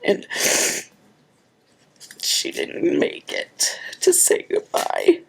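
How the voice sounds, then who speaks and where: A woman vlogging about her planner, speaking tearfully and haltingly, repeating words, with a cold and sniffling. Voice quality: husky, gravelly. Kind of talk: tearful personal storytelling to camera.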